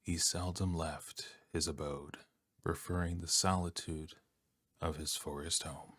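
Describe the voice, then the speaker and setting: Deep, soft-spoken man narrating very slowly. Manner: calm, unhurried, soothing, with long pauses between phrases. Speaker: storyteller, narrator. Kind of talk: ASMR bedtime story narration, scripted.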